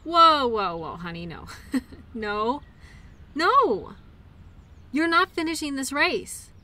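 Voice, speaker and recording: A woman's voice: high voice, lively intonation, slow, sharp tone, exaggerated voice. A woman playing an affectionate, playful girlfriend in a roleplay audio, talking breezily as if to her partner. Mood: protective, teasing. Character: tired, playful, caring girlfriend at a mud race. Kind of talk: intimate roleplay audio acting, one-sided conversation.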